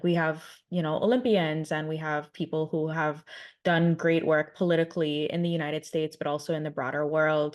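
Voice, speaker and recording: A woman from the Virgin Islands, a content creator, introducing herself on an online panel in a measured way with frequent fillers. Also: low-pitched.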